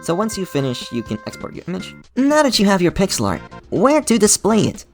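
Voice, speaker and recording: A man's voice: high-pitched, wildly swooping pitch, a little fast, soft background music. A man hosting his own channel, giving a playful, enthusiastic scripted tutorial with a sponsor segment. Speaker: channel host.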